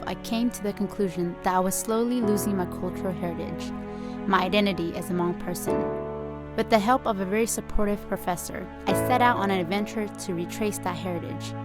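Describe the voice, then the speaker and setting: A woman in her twenties, a student filmmaker, narrating her documentary in a calm, reflective, measured way. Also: medium pitch. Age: 20s.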